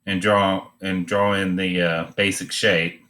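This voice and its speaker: A man giving an informal, unscripted drawing demonstration, thinking aloud in a halting way as he teaches. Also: sits low, flat delivery, sharp tone.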